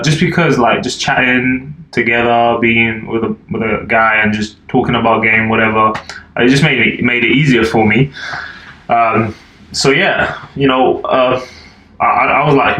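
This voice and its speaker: A man's voice: mid-range voice, fairly bright tone. Rambling young man in an echoey room. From Albania. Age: 20s. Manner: laid-back, rambling, lots of fillers and "like". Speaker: podcast guest. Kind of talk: casual chat. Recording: echoey room, faint noise.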